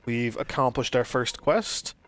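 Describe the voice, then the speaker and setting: A man's voice: medium pitch, animated, unhurried, fairly bright tone. A man hosting a Let's Play, giving casual, easygoing commentary and explanations.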